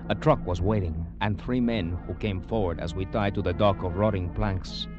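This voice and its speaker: An actor playing a wily, formally polite smuggler with a Latin American Spanish accent in an old radio drama. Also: male voice, sits low, moderate pace, close to an everyday voice. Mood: measured narrating. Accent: Spanish-speaking Latin American. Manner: formal, elaborately polite, smooth-talking. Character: wily, courteous middleman smuggler talking of going honest. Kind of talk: 1940s-50s radio drama acting. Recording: dull old radio broadcast with music.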